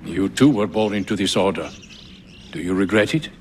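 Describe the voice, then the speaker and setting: A man's voice: low voice, moderate pace, a little mellow, a little hiss or hum, close to an everyday voice. An actor playing a solemn, fatherly old assassin mentor in dramatic game dialogue. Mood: tender, wistful.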